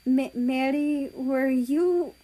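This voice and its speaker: A tall, anguished man in an audiobook story, played by a woman reader. The voice lifts high above ordinary talk, breathless and exclaiming, full of tearful emotion. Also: extremely slow, warm tone, full character voice. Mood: tender disbelief. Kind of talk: audiobook character voice.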